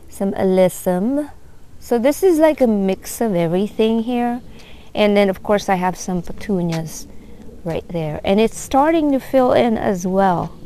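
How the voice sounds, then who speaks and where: Slow, admiring woman on a garden tour. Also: mid-range voice, dark tone. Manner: unhurried, admiring, many fillers. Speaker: gardener vlogger. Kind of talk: casual garden tour narration. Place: outdoor backyard garden.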